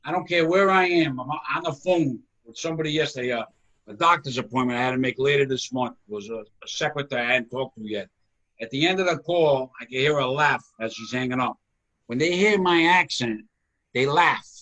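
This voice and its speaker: A man with a thick Rhode Island accent talks on a video call as the host of a comedy chat. His voice is bright and forceful. He projects loudly and laughs easily as he talks. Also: medium pitch, fairly quick, muffled recording.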